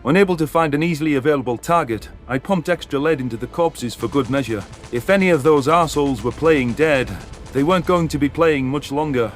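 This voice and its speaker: A man's voice: fairly high pitch, a little fast, few pauses, faint music behind. A man narrating a dramatic first-person science fiction story as an audiobook.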